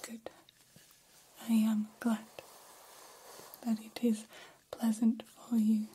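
A woman voicing a gentle, curious female alien in an ASMR roleplay, whispering softly in formal, halting, literal phrases. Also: a little high, monotone, a little hiss or hum, rough recording. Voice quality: soft, breathy whisper. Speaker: ASMR performer.

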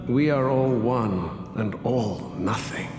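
An actor playing a sinister blind cult leader in a radio drama, speaking with grandiose, serene menace like a preacher of oblivion. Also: male voice, medium pitch, close to an everyday voice. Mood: serenely ominous. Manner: grandiose, slow, sermonizing. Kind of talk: theatrical radio drama acting. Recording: echoing hall with long reverb, music behind.

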